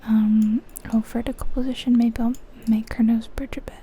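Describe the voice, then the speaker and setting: A woman whispering softly in an ASMR style, musing aloud over a game in an unhurried way, close to the microphone. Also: fairly high pitch, little pitch movement, a little hiss or hum. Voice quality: soft, breathy whisper. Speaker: ASMR video creator.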